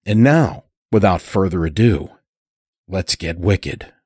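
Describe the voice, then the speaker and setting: A man hosting and narrating a horror fiction podcast, reading from a script in a measured, deliberate way. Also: low voice, animated, a little mellow, husky, dry recording, studio quality. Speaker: podcast host and story narrator.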